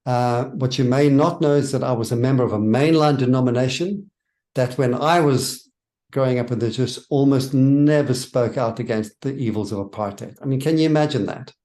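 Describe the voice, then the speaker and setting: A man's voice: medium pitch, moderate pace, mellow. A South African man, a church worker and author, answering interview questions in a thoughtful, earnest way and stressing his key points.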